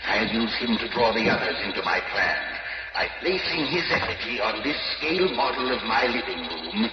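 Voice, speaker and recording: An actor playing a domineering, scheming middle-aged villain who controls puppets, in a theatrical radio drama style. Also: male voice, fairly low pitch, expressive, moderate pace, natural voice. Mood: sinister scheming. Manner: theatrical, menacing, commanding. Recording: noisy, muffled old radio broadcast.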